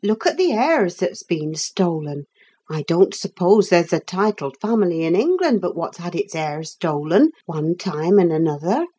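A woman's voice: fairly low pitch, big pitch swings, measured pace, dark tone, slightly rough, heavily acted. A woman reading aloud, voicing a blunt, grumbling older cook with working-class speech. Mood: scolding.

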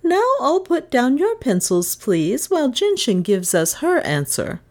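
A woman's voice: sits high, very animated, unhurried, pitched above the performer's own voice, heavily acted. A woman reading a children's story as a stern female schoolteacher who is formal, clipped and reproachful. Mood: demanding. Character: stern, shaming schoolteacher woman, cool and exacting. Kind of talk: children's audiobook character voice.

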